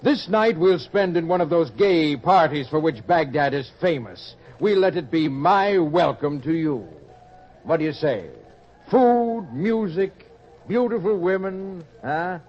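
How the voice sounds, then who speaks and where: An actor playing an ingratiating, worldly pleasure-seeker, theatrical and drawn out, in old-time radio style. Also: male voice, fairly high pitch, lively intonation, unhurried, darkish tone. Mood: persuasive, beguiling. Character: smooth-talking, worldly hedonist man luring a youth. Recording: muffled radio-play audio.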